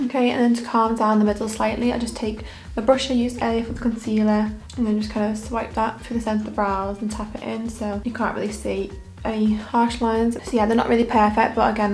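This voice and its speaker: Croaky, flat-voiced young woman vlogger. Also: fairly high pitch, fast, hardly any pauses. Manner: monotone, relaxed delivery with frequent fillers. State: croaky, hoarse voice after illness. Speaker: makeup artist and beauty vlogger. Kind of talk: casual makeup tutorial.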